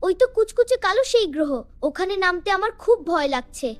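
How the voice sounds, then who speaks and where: A woman's voice: extremely high pitch, expressive, quick pace. A woman voicing a small, earnest childlike ghost in a cartoon, timid but eager. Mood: anxious. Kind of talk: cartoon voice acting.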